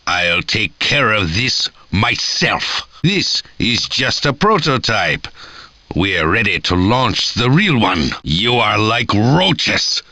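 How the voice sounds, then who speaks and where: A grandiose arcade villain with a lively, swinging pitch and measured pace. He sounds theatrical and menacing, haughty throughout, in a close, dry recording. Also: no echo, exaggerated voice. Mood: cold, menacing. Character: grandiose, menacing male villain mastermind plotting world conquest. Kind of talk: arcade game voice acting.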